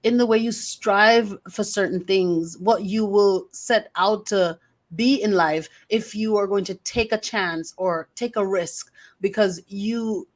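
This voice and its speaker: A woman hosting a podcast interview, reflecting on her guest's words with affirming, encouraging remarks. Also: mid-range voice. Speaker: podcast host. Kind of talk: interview host.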